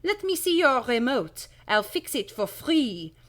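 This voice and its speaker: A woman voicing a big, shifty storybook shopkeeper, a bearded man with a put-on French accent and a halting, emphatic delivery. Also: on the high side, very animated, fairly bright tone, pitched above the performer's own voice. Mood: placating.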